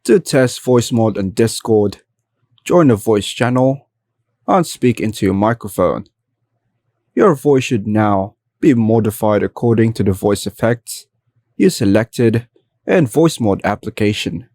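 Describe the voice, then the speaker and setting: A man narrating a scripted step-by-step software tutorial in an even, instructional manner. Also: fairly low pitch, unhurried, dry recording, clean studio sound.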